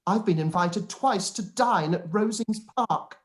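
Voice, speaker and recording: A man's voice: high voice, measured pace. A man playing a pompous, obsequious young clergyman in a period radio play, unctuous and elaborately formal. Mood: fawning. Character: pompous, obsequious young clergyman, self-satisfied and long-winded. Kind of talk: live-read radio play, letter read in character.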